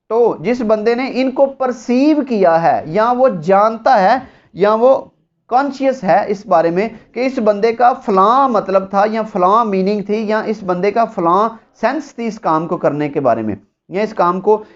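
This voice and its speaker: A lo-fi, slightly dull recording carries a Pakistani-accented law lecturer. The voice sits at a medium pitch. The delivery is didactic and deliberate, explaining points through examples as in exam preparation. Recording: dull, lo-fi audio.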